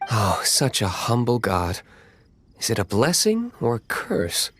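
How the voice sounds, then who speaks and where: A man's voice: medium pitch, measured pace, husky, heavily acted. An actor playing a cool, aloof young nobleman in a video game, curt, dry and sardonic. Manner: dry, deadpan.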